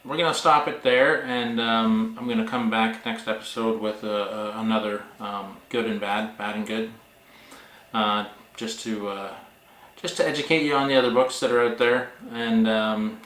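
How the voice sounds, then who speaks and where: A man talking casually as a vlog host and author, with a halting, wry, self-deprecating manner. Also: on the low side, steady tone, fairly bright tone. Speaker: author and book vlogger hosting. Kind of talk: casual vlog talk, reading reviews aloud. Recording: echoey room.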